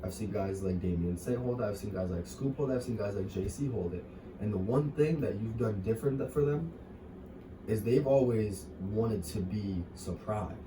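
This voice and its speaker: A young man, interviewing a fellow wrestler in a relaxed, talkative way with many fillers. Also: lowish voice, normal speed. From New York. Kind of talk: casual interview. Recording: far-off echoey room with noise.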